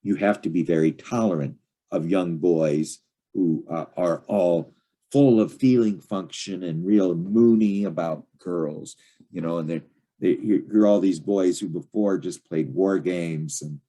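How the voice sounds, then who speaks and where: Very low, husky older man lecturing haltingly. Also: dark tone. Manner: halting, digressive delivery with trailing, unfinished sentences. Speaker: study group lecturer. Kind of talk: informal lecture, reading and commenting on a text.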